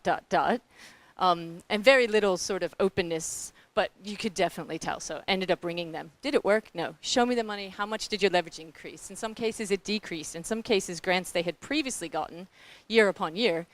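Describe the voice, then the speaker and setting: A middle-aged woman from New Zealand with a New Zealand accent, speaking on a conference panel from a grantmaking foundation in a blunt, candid, animated way, with asides to the audience. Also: lowish voice.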